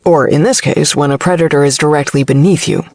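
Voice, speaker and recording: Woman voicing an impish male podcast host, creaky. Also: low-pitched, a little fast, a little mellow, no room sound, studio quality. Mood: teasing suspense. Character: impish bearded male podcast host, teasing best friend.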